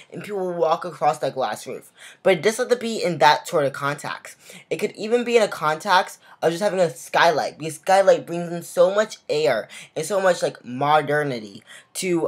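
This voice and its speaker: A woman's voice: low-pitched, expressive, moderate pace. A young woman giving a casual voice-over tutorial for a building game, chatty and full of "like" and "guys". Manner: chatty, frequent "like" and "guys", self-restarts.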